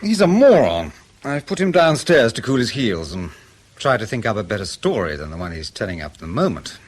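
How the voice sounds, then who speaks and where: Supercilious, low-voiced British interrogator. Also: male voice, very animated, fairly quick. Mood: disdainful. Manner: clipped, sardonic, probing. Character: supercilious, sceptical male intelligence interrogator, dry and needling. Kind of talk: radio drama acting.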